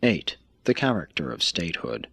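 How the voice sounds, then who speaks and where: A man reading a scripted spiritual text like an audiobook, in a measured, emphatic manner. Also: low-pitched, very animated, creaky, fairly bright tone, husky, dry recording.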